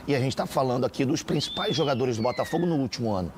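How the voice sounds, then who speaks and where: A man's voice: medium pitch, expressive, rapid-fire, background noise. A man with a Brazilian Portuguese accent, a sports panelist arguing his opinions in a casual football debate. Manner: opinionated, builds arguments with repeated phrases.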